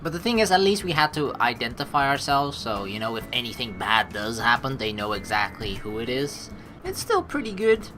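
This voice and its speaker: A woman's voice: very deep voice, big pitch swings, fairly quick, background noise. A woman hosting a let's play, reading game text aloud and breaking off into casual, rambling asides. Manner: casual, rambling, self-correcting. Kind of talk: reading a visual novel aloud with chatty asides.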